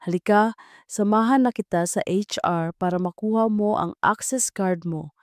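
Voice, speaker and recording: A woman voicing a friendly, helpful young male team leader in an audio drama, warm and encouraging in a casual way. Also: medium pitch, moderate pace, no room sound, clean studio sound, natural voice. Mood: helpful, inviting.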